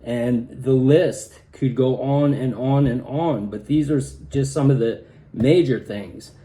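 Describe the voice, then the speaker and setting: A man with a slightly raspy, hoarse voice talking casually in a personal vlog, with many halting restarts. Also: medium pitch, dark tone. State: hoarse from pollen. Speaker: vlogger.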